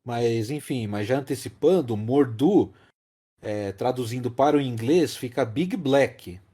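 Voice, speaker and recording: A Brazilian man talks through a film as a podcast host. His voice is soft-edged and mellow, with pitch that moves a lot. He explains casually but emphatically, repeating key phrases for weight. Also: animated, a little slow, slightly rough.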